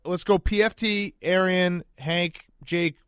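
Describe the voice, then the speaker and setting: A man's voice: sits high, steady tone, takes it slowly, fairly bright tone, smooth, no room sound, clean studio sound. A man hosting a casual sports discussion, moderating informally and keeping it moving. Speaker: podcast host.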